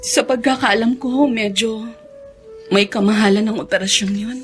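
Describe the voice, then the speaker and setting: An actress playing a caring, anxious grown daughter in a Filipino radio drama. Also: female voice, fairly high pitch, steady tone, normal speed, faint music behind, natural voice. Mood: gentle, worried. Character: caring, anxious adult daughter of an ailing father.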